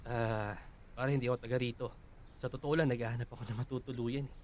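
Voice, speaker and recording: A man's voice: medium pitch, steady tone, fast talker, darkish tone, slight background noise, lightly acted. A man acting as a modest, helpful stranger in a Filipino radio drama, humble and deferential. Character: modest, helpful male stranger, shy of imposing.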